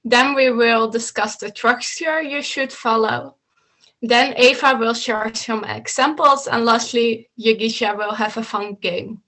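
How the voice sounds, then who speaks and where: A woman's voice: fairly high pitch, steady tone, unhurried, sharp tone, low-quality recording. A woman coach explaining slides in an online meeting, talking steadily and instructively, with a few slips and restarts, over a video call from a home room. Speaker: coach presenting to students. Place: home room with bookshelves, indoors.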